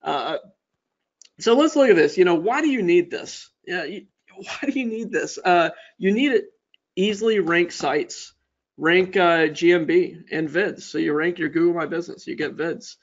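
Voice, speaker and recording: A man's voice: high-pitched, moderate pace. A man hosting a webinar, delivering a casual sales presentation. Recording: dull, lo-fi webinar audio.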